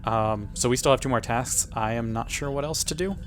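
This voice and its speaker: A man's voice: mid-range voice, brisk, slight background noise. A man talking casually as a player working through moves in a tabletop role-playing game.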